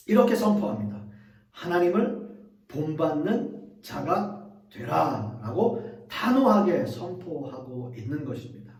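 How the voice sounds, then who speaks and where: A male pastor preaches in a high voice that rises and falls widely as he speaks earnestly to his congregation. The sound is roomy and echoing, picked up from some distance away in the church. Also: darkish tone. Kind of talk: sermon.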